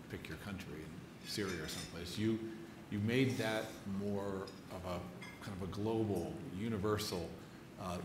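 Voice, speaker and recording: A man moderating a literary conversation on stage, deliberately building up his questions in short phrases. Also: sits low. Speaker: interviewer, moderator. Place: live stage event in an echoey venue. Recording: echoey room, clearly noisy.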